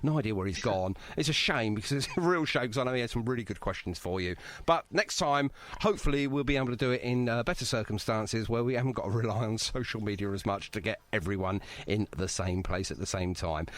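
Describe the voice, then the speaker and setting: A man's voice: fairly low pitch, fast talker, few pauses. A man hosting a radio interview in a chatty, jokey, effusive way. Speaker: radio show host. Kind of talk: casual, bantering radio interview.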